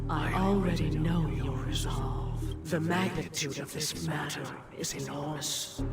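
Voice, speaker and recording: An actor playing a stately, pious elderly family patriarch, speaking with solemn grandiloquence in a video game. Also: male voice, on the high side. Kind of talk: dramatic video game voice acting. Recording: loud music behind the voice. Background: loud game music.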